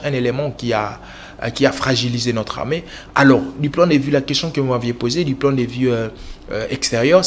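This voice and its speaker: A man's voice: medium pitch, slight background noise. A man from the Congo speaking as a political analyst in an interview, lecturing with many fillers and restarts. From Congo (DRC). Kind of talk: interview answers.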